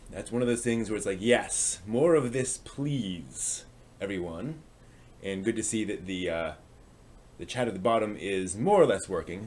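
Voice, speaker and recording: Low-pitched man chatting on a livestream. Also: a little mellow. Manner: rambling, halting, thinking aloud. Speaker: live stream host. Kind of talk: casual livestream chat, answering viewers' comments.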